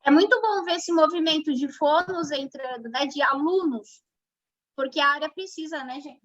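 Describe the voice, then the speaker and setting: A woman co-hosting an online chat, lively and teasing as she sets up her phone. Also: high-pitched, normal speed, highs cut off. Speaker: co-host. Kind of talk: casual chat. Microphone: phone on a live stream.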